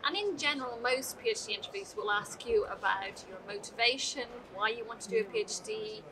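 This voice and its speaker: A middle-aged woman in charge of student programmes gives applicants clear, practical pointers. Her voice sits a bit high, sounds somewhat far from the mic, and carries a busy murmur of people behind it. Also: big pitch swings, brisk. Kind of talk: answering interview questions to camera. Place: large open atrium, indoors. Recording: distant voice with crowd chatter behind.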